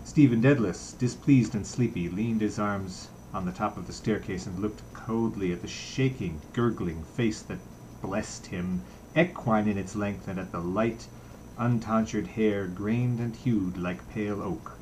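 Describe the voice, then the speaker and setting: A man's voice: lowish voice, measured pace, darkish tone, low-quality recording. Man reading a novel, background noise. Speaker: audiobook reader. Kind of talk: literary narration, reading a novel aloud.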